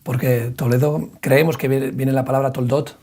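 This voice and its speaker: A man's voice: mid-range voice, flat delivery, normal speed, mellow. A man hosting a radio interview, leading the guest with long questions and comments. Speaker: radio show host.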